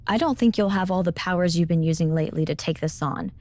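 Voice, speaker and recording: A woman playing a blunt, knowing mentor in a video game cutscene, explaining in a measured, pointed way. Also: lowish voice, darkish tone, lightly acted. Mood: grave. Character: knowing, blunt female mentor in a supernatural wrestling drama.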